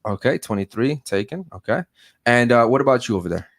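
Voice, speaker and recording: A man's voice: medium pitch, expressive, a little fast, no room sound, clean studio sound. Laid-back male podcast host. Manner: laid-back, says man and shout out a lot. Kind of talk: casual panel chat.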